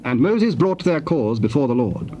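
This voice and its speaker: Mellow male scripture narrator. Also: medium pitch, very animated. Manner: measured, formal storytelling. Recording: dull old tape, faint noise.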